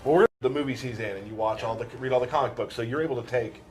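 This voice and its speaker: A man's voice: mid-range voice, very fast, darkish tone. A man chatting casually as a developer on a video game demo panel. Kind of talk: casual chat. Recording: music playing behind the voice.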